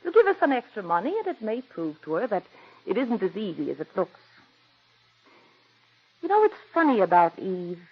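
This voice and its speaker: Brief: a haughty stage diva, husky and animated, vintage radio. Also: female voice, medium pitch, moderate pace, long pauses, exaggerated voice. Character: imperious famous stage actress. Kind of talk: 1940s radio acting. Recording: dull old radio broadcast.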